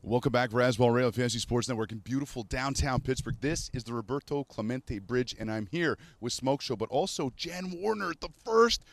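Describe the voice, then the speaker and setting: A man's voice: medium pitch, animated, fairly quick, few pauses, slight background noise. A man from Montreal hosting a casual outdoor show by a city river, chatty and jokey. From Montreal, Canada. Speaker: host.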